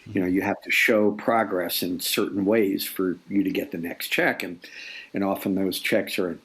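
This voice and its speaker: An interview guest, a man in his sixties, speaks in a low, gravelly voice. He reflects in a halting way, often circling back over his words. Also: fairly even intonation, fairly bright tone. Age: 60s. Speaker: guest author.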